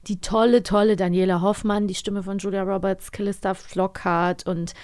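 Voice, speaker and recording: A woman's voice: mid-range voice, little pitch movement, normal speed, darkish tone, clear, resonant tone. A woman co-hosting a magazine about radio plays, talking casually and thinking aloud as she reviews. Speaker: radio drama magazine co-host.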